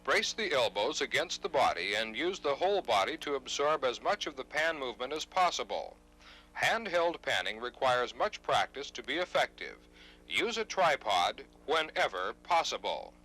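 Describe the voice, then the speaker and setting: Bright-voiced man narrating an instructional tape. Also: medium pitch, big pitch swings, normal speed, poor audio. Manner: formal, precise, instructional. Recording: 1970s instructional videotape narration.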